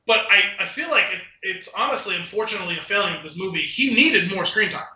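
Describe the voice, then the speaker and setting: A man with a high-pitched, very sharp and bright voice co-hosts a casual podcast. He offers opinions conversationally, and the sound around his voice is noticeably roomy and echoey. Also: lively intonation, fast talker. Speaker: podcast co-host. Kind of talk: casual opinionated film discussion.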